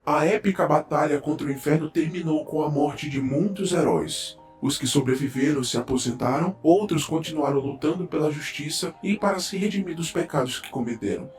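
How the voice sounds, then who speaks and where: A man's voice: a little high, darkish tone, lightly acted. A man with a Brazilian accent playing a solemn, reflective veteran hero narrating a scripted audio drama. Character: solemn veteran male hero recounting past battles. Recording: echoey voice over faint music.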